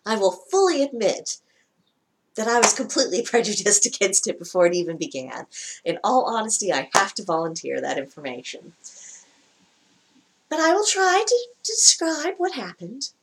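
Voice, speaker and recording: A woman with a lower-pitched voice giving casual spoken commentary as a vlogger, wry and chatty, often laughing mid-sentence. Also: very animated, normal speed, a little hiss or hum. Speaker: vlogger reacting to a broadcast.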